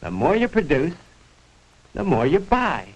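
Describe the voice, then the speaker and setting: A man's voice: a little high, huge pitch swings, measured pace. An actor playing a combative, argumentative American man in a 1940s educational film, on an old, faintly noisy soundtrack.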